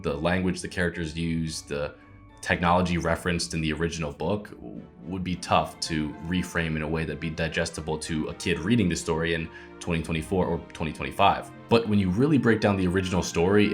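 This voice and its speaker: Deep voiced guy explaining how he wrote his book, reflective, into it, faint music. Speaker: author of a retold children's book. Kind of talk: behind-the-scenes talk about own work.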